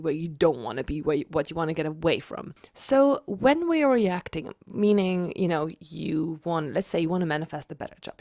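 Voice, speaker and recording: A woman who is a little ill and sounds raspy, giving a chatty explanatory vlog with frequent restarts. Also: deep voice, darkish tone, no room sound, studio quality. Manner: chatty, repeats herself with restarts. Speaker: manifestation vlogger.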